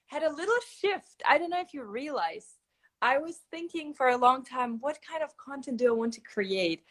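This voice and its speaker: A woman's voice: high-pitched, a bit bright. A young woman from Croatia, raised in Germany, chatting as a guest on a live stream, bubbly and a little nervous, laughing often. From Croatia, grew up in Germany. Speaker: guest, content creator and teacher. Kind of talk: casual live-stream chat.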